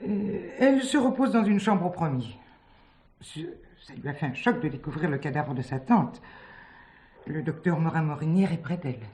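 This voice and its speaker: A woman's voice: deep voice, animated, normal speed, darkish tone, slight background noise. A woman playing a discreet, polite manageress of a retirement home with a formal manner in a French play. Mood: uneasy. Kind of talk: French radio play acting.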